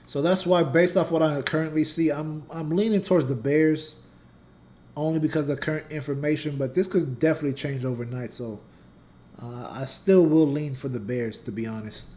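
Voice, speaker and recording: Mellow-voiced man explaining charts casually. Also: a little high, fairly even intonation, normal speed. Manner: loose and conversational, talks to chat. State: voice getting scratchy. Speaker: trading channel host and teacher. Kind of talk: casual live chart analysis.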